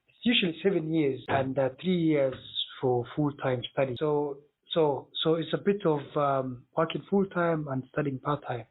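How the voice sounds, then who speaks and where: A man's voice: fairly high pitch, breathy edge. A man from Somalia who was brought up in Denmark, explaining things conversationally as an interview guest, on a muffled, narrow recording. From born in Somalia, brought up in Denmark. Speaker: interview guest, consultant and PhD student.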